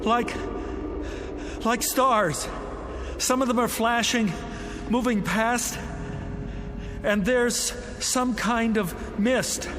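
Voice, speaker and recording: A man's voice: very high voice, full character voice. An actor playing a desperate, determined father searching for his young daughter in a radio drama, calling out urgently. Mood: awed, unsure. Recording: long cavernous reverb, loud music underneath.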